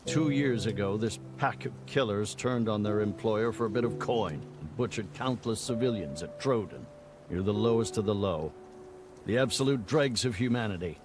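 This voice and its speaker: An actor playing a stern, blunt army general in a video game, speaking in a measured, formal way. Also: male voice, on the low side, over music, lightly acted. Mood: stern, probing. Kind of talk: dramatic video game acting.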